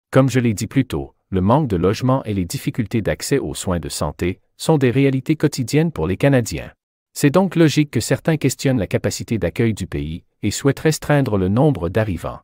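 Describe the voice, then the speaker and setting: A man playing a measured expert journalist who gives articulate, explanatory answers in a scripted interview. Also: medium pitch, lively intonation, a little mellow, no room sound, clean studio sound, close to an everyday voice.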